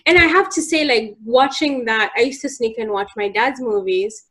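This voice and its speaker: A woman's voice: a little high, normal speed. A young woman from Uganda, hosting a casual group chat and telling stories with laughter and pointed questions. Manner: animated, laughing storyteller who asks pointed questions.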